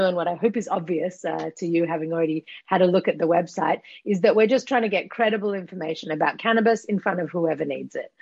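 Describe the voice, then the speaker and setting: Australian woman guest, husky and low-pitched, on a dry, close remote recording. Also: no echo, dull audio. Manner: thoughtful, with many fillers and restarts. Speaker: guest, company CEO. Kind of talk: casual podcast interview. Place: home in Israel.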